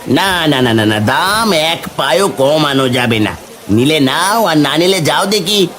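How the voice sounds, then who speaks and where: A man's voice: a little high, animated, unhurried, a bit bright. A man voicing a stubborn, brash village vegetable seller in a cartoon. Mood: firm, brusque. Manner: brash, unyielding. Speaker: cartoon voice actor. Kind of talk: village cartoon dialogue, market hawking.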